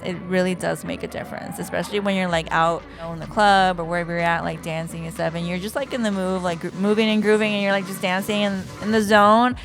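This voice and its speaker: A woman's voice: fairly low pitch, steady tone, fast, few pauses. Bubbly, laughing woman podcast host. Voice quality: mellow voice. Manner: bubbly, laughs often, chatty girlfriend tone. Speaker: podcast host and makeup artist. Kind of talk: casual interview chat. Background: house music playing.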